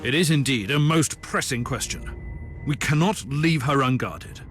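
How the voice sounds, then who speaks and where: An actor playing a stern, commanding inquisitor in a sci-fi video game, formal and authoritative. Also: male voice, medium pitch, normal speed, natural voice. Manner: authoritative, formal, clipped orders. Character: stern, commanding male inquisitor of dark future. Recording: loud music under the voice.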